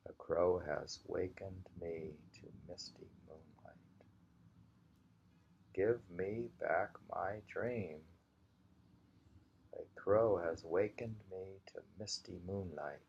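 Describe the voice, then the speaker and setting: A man reading short poems in an unhurried, halting way, repeating lines slowly and musing on them informally in a dull, lo-fi home recording. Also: sits low, gravelly, darkish tone, slight background noise. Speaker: reader of a poetry anthology.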